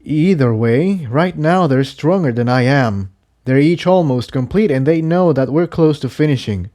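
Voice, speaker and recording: A man plays a troubled male pony from a storybook adventure. He is earnest and careful, explaining things hesitantly in a slightly raised, soft-edged voice. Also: on the high side, expressive, normal speed, pitched above the performer's own voice, natural voice.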